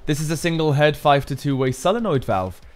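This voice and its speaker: A man's voice: a little high, lively intonation, quick pace. A man giving a casual, explanatory how-to narration as a maker presenting his build. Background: background music.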